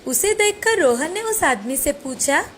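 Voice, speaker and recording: A woman's voice: high-pitched, big pitch swings, measured pace, a bit bright, a little hiss or hum. A woman storyteller reading a scripted moral tale as narrator. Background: faint background music.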